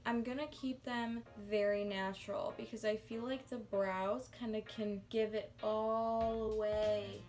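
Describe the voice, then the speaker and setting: A chatty woman walks through her makeup routine at home in a loose, rambling way and pokes fun at herself as she goes. Her voice sits at an ordinary middle pitch, with music playing behind her. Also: level tone, measured pace, hardly any pauses, darkish tone. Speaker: beauty vlogger. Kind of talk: casual makeup tutorial.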